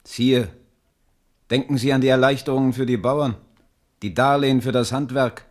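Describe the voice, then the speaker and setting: A man acting a sober, dutiful royal minister in a German radio drama, formal, measured and insistent with his king. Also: mid-range voice, fairly even intonation, natural voice. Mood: admonishing.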